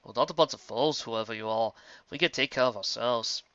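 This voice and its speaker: A man's voice: mid-range voice, brisk, fairly bright tone, no echo, muffled recording, natural voice. A man voicing a blunt, skeptical pony mare who talks curtly and dismissively. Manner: curt and dismissive.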